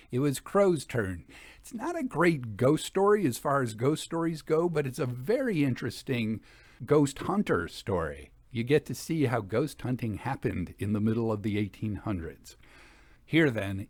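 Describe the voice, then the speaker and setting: A man narrating in a scripted storytelling style, measured and articulate, like the host of a literary reading podcast. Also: medium pitch, a little mellow, no room sound.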